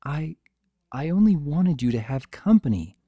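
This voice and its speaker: A man voicing a regal female winged pony in a fantasy story, measured, polite, calm and cautious. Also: fairly high pitch, no echo, voice pushed up. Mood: hesitant. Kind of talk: dramatic fan fiction reading.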